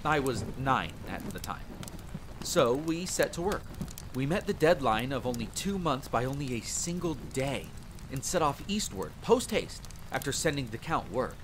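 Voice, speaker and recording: Campfire audio drama: male actor as world-weary vampire hunter telling his grim past, expressive and wry, stormy rain ambience. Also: mid-range voice, normal speed, slight background noise, natural voice. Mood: wry, dramatic. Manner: theatrical, expressive, wry asides. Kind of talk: dramatic first-person storytelling, audio roleplay. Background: rain and rolling thunder.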